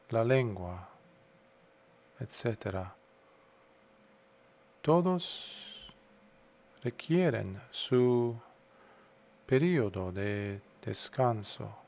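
A man guiding a meditation as a monk would, unhurried, in short phrases separated by long pauses. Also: medium pitch, creaky, a little mellow. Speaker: Buddhist monk, meditation guide. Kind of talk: guided meditation talk.

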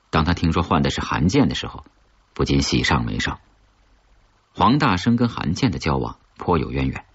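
A man narrating a crime thriller audiobook in a husky, measured, dramatic storytelling manner. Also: low voice. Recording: slightly dull, lo-fi audio.

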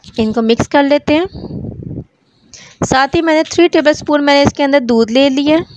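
A woman with a Pakistani Urdu accent, hosting a home cooking channel, calmly explaining each recipe step in a voice-over. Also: high-pitched, normal speed, slight background noise, rough recording. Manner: calm, repeats each step.

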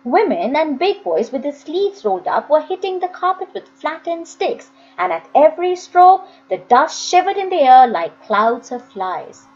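A woman narrates a story in a high, very expressive voice. Her phrasing is deliberate and dramatic, and the home recording sounds a bit dull. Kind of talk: expressive scripted audiobook reading.

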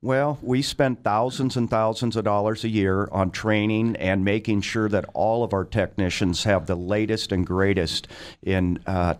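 A man's voice: deep voice, smooth. A middle-aged man, a mechanic, giving explanatory answers as a guest in a radio interview.

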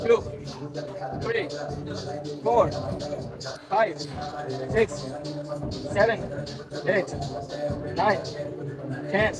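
A young man with a high voice vlogs casually from a gym, talking and counting at a slow pace. Music plays clearly behind him. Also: poor audio. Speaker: gym vlogger. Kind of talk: casual vlog chat and rep counting. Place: busy gym, indoors.

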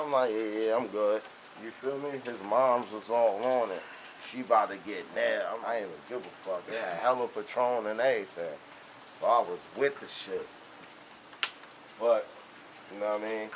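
A young man telling boastful, animated street stories in casual, profane slang. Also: medium pitch, poor audio. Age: young adult. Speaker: storyteller. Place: outdoors on a covered house porch. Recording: rain and outdoor ambient noise.

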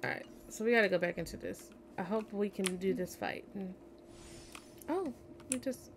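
A woman's voice: medium pitch, moderate pace. A tired young woman streaming a video game, chatting casually and reading the game's text aloud. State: tired, yawning. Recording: home stream with faint game noise.

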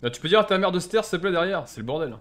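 Lively young male streamer, teasing. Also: high voice, rapid-fire. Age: young adult. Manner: jokey, teasing. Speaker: gaming streamer. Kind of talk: casual gameplay chat with slang.

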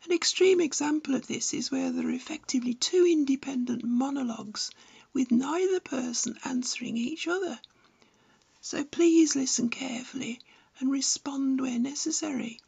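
An older woman in her seventies delivers scripted instructional narration. Her voice sits high and has a noticeable creak. She phrases each point precisely and deliberately, like a teacher. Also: darkish tone. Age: 70s. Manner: measured, didactic, precise phrasing. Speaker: amateur radio enthusiast and instructor. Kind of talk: scripted narration giving practical advice.

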